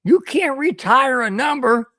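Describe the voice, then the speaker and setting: A man hosting a solo podcast stream, ranting animatedly and repeating himself while his voice wears hoarse. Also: very high voice, animated, a little slow, bright, forceful tone, dry recording, clean studio sound. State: voice getting hoarse. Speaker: podcast host. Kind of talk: solo livestream rant reading chat.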